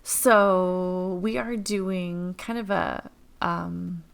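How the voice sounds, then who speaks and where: A woman from Illinois hosts a relaxed teachers' podcast, rambling and chatty with plenty of fillers. Her voice sits on the low side and carries a gravelly, fry-laden texture. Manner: chatty, rambling, quick asides with many fillers. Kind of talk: casual chat.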